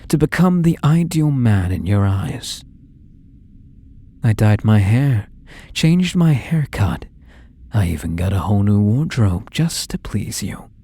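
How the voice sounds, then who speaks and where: Man acting a low, husky, possessive stalker. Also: exaggerated voice. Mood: wistful, obsessive. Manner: soft, coaxing, menacing, slow and deliberate. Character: obsessive, possessive young male supermarket stalker, falsely tender. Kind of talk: intimate ASMR audio roleplay.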